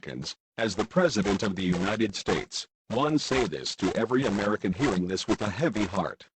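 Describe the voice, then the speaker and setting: A man's voice: fairly low pitch, animated, fairly quick, noisy background, close to an everyday voice. A man playing a grave, embattled American president giving a formal, declamatory emergency address. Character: grave, embattled male President of the United States.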